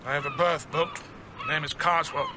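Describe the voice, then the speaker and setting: An actor playing a curt, haughty British gentleman villain in a radio drama, with a clipped, imperious manner. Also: on the high side, steady tone, moderate pace, natural voice. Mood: irritable. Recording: noisy train and station ambience.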